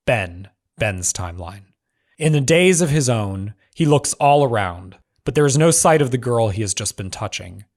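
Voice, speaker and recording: Male narrator for screenplay table read, medium register, measured delivery, clipped scene headings. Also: medium pitch, animated. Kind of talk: scripted narration of screenplay stage directions.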